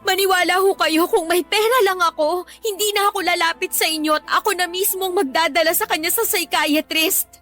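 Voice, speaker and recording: An actress playing an earnest young student pleading respectfully but firmly in a Tagalog radio drama. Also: female voice, extremely high pitch, steady tone, fairly quick, few pauses, pushed and bright, soft background music. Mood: tearful pleading. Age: young adult. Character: earnest young student girlfriend pleading with her boyfriend's mother.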